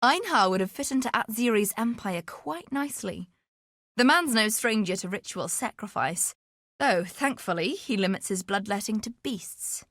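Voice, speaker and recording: An actress playing a sly, greedy, flamboyant treasure hunter in a video game, with a wry, self-assured, theatrical delivery. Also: female voice, mid-range voice, animated, normal speed, a bit bright. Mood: wry musing. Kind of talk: video game character dialogue.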